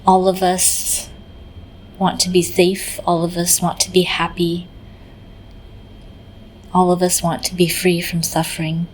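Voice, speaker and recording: Slow, low-toned woman guiding meditation. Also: completely flat delivery, slight background noise, poor audio. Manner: gentle, unhurried, pausing mid-phrase. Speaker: meditation teacher. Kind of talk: guided meditation narration.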